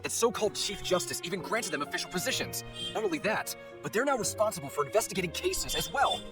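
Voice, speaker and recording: A man's voice: sits high, lively intonation, fast, music in the background. A man playing a suspicious, gossiping townsperson in a video game, resentful and insistent.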